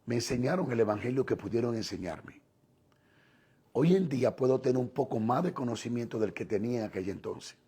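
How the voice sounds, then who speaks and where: Husky Dominican man preaching. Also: medium pitch, animated, mellow. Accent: Dominican Spanish. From Dominican Republic. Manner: deliberate, emphatic phrasing with pauses and repetition. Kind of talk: sermon, teaching on scripture.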